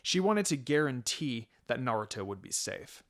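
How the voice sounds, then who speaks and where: A man reads fan fiction aloud in a storytelling narration. His voice sits at a middle pitch as he delivers scripted prose. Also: sing-song, normal speed, vocal fry.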